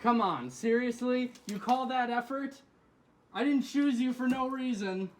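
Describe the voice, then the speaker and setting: A man's voice: very high voice, a little slow. A young man playing an intense, demanding student audio director in a mockumentary. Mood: exasperated. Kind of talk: acted mockumentary. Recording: background noise.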